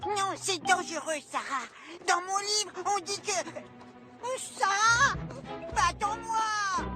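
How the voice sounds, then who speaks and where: Young cartoon boy, very high pitch, cautious, anxious, bookish, loud music underneath. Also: slow. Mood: anxious, pleading. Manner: hesitant, anxious, protesting. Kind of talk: French cartoon dub acting.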